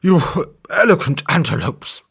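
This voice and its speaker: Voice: mid-range voice, very animated, a little slow, creaky, fairly bright tone, slightly rough, pitched above the performer's own voice, full character voice. A storyteller voicing a big, strong male elephant who blusters and threatens, then turns falsely polite.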